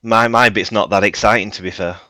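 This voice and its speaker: A man's voice: fairly low pitch, fairly even intonation, brisk, pushed and bright, no room sound. A man, a music producer guest in a radio interview, talking in a modest, self-deprecating and rambling way, with plenty of fillers. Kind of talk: casual radio interview chat.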